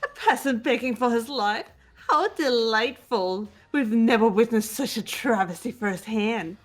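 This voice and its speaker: A woman's voice: a little high, expressive, a little slow, soft background music. A woman voicing a haughty, gloating young female mastermind in a fan-dubbed video game, grandiose and mocking. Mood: haughty, amused.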